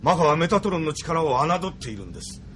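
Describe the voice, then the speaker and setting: Expressive, cautious senior admiral. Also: male voice, on the high side, quick pace, a little hiss or hum. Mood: dismissive, cautioning. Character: cautious, deferential senior male admiral advising his leader. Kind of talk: Japanese anime audio drama acting.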